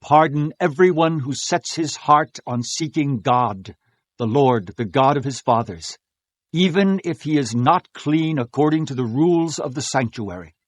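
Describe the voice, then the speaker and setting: A man's voice: medium pitch, animated, a little slow, dry recording, lightly acted. Man acting as an earnest, declaiming biblical king. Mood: pleading prayer. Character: earnest, pious king of Judah exhorting his people. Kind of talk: dramatized scripture acting.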